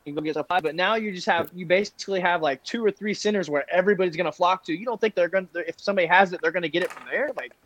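A man's voice: sits high, rapid-fire, hardly any pauses, fairly bright tone, no room sound. Emphatic man talking in bursts. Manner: restless, repeats himself, emphatic. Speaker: fight coach and fighter. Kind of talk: casual podcast chat.

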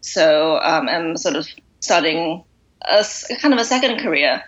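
A woman from Singapore, a podcast guest, talking in a relaxed, thoughtful way with many fillers. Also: low voice, unhurried, highs cut off. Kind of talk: casual interview.

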